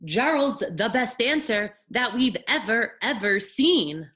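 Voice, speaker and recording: A woman voicing brash, excitable jungle animals from a storybook, calling out in loud exclamations. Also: fairly high pitch, slow, voice pushed up, full character voice. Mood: amazed, excited.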